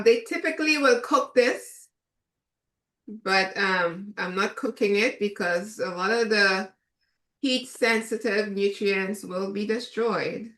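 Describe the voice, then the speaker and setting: A Trinidadian woman chef giving a relaxed, chatty cooking demonstration, with many fillers. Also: mid-range voice, roomy sound.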